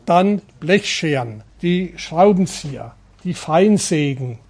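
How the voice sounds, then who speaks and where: A man's voice: fairly high pitch, expressive, slow, a little mellow. A man who runs a training workshop, explaining in an interview, orderly and matter-of-fact. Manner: explanatory, listing things in an orderly way. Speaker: head of the refugee workshop.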